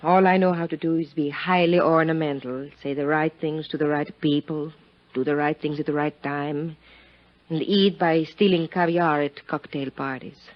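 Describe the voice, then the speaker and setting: An actress playing a worldly, poised, impoverished young countess with a languid, guarded manner in a 1950s radio drama. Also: female voice, sits low, steady tone, moderate pace, natural voice. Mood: weary, bitter. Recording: muffled 1950s radio recording.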